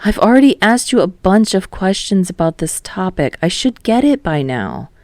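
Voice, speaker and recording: A woman's voice: medium pitch, sing-song, normal speed, darkish tone, pitched above the performer's own voice. Lady doing a laid-back sheepish teen boy, kinda casual and hesitant, audiobook dialogue, no room echo. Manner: casual, hesitant, good-natured. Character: easygoing, sheepish teenage boy struggling with math. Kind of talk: acted dialogue in fan fiction audiobook.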